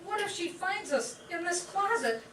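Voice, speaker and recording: A woman's voice: very high voice, lively intonation, moderate pace. A woman playing a timid, sweet, naive young nurse in an amateur staged radio play. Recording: echoey, distant, faint noise.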